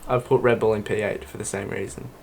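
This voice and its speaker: A man's voice: on the low side, fairly even intonation, fast talker, vocal fry, a loud crowd. A man co-hosting a podcast, chatting casually in an informal, blunt and jokey way. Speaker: podcast co-host. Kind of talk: casual panel chat.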